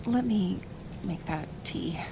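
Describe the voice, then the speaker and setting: A woman acting a kind but stubborn reclusive healer in an ASMR roleplay, gentle yet firm, unhurried, with sighs and pauses. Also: lowish voice, gravelly, background noise. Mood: curious, softer.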